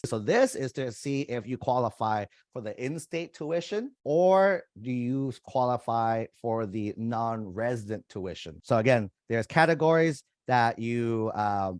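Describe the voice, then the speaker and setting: A young man walks students through an online application form, chatting casually and speaking straight to his viewers. His voice sits at a middle pitch for a man. The recording is dry and close, with almost no room sound. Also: darkish tone, no echo. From United States. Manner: casual, conversational, talks to viewers directly as "you guys". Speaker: college admissions coach. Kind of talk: screen-share walkthrough of an application form.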